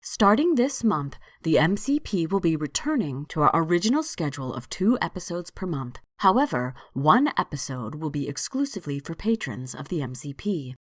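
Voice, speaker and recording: A woman host reading a scripted solo podcast episode in a calm, measured way. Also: low voice, dry recording, studio quality. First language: not French.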